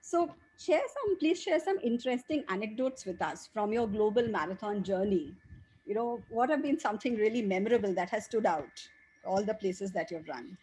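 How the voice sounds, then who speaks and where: Woman interviewer, medium-pitched. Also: expressive, normal speed, darkish tone, low-quality recording. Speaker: interviewer, host. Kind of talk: conversational interviewing.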